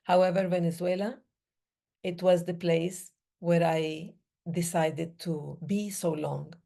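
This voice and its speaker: Woman speaker from the Middle East; slow, mellow, lowish voice, measured phrasing. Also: steady tone, gravelly. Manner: slow, measured, with pauses between short phrases. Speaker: breathing day speaker. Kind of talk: reflective talk.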